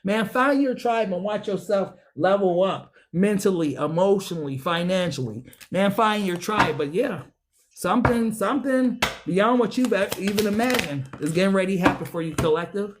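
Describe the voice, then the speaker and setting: A woman giving a tarot reading to her viewers, slangy and profane, preaching with loads of enthusiasm and a voice that swings high and low. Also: normal speed, slight background noise. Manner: talks straight to viewers as a group. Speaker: tarot reader. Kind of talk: casual tarot reading to camera.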